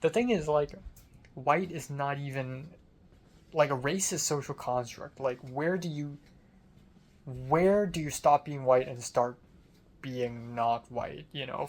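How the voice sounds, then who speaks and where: A young adult man talking in a casual, rambling personal diary style, informal and conversational, swearing mildly. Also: mid-range voice. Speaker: film student vlogger.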